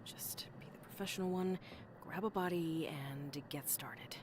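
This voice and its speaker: An actress playing an exasperated, skeptical young new employee in a video game, sighing and indignant. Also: female voice, on the low side, moderate pace, background noise, natural voice. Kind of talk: scripted game voice acting.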